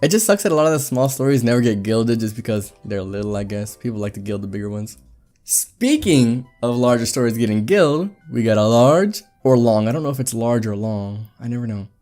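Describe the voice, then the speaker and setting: A man reading stories aloud and chatting between them in a lively, animated way. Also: medium pitch, a little fast, soft background music. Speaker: Reddit story narrator.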